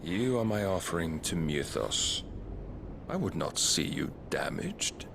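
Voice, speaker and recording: Very deep, slow, imperious villain. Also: male voice, expressive, creaky, background noise, full character voice. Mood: cold disdain. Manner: slow, measured, lofty archaic speech. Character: menacing otherworldly male villain, coldly imperious. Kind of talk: dramatic video game cutscene acting.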